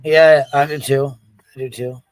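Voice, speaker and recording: A man's voice: mid-range voice, little pitch movement, unhurried, dry recording, low-quality recording. A man hosting a casual livestream panel, talking bluntly with some profanity.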